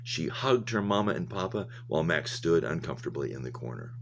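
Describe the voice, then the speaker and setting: A man's voice: rumbling low, big pitch swings, fairly quick, creaky, a little hiss or hum. A man reading a story aloud in the style of a teacher, with explanatory asides. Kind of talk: read-aloud narration with commentary.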